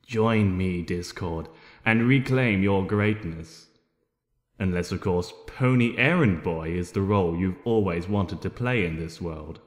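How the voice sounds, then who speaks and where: Man playing a low, menacing monster villain. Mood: tempting, grandiose. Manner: slow, disdainful, rambling speechifying. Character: menacing male monster villain, scornful and grandiose. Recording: roomy, long reverb.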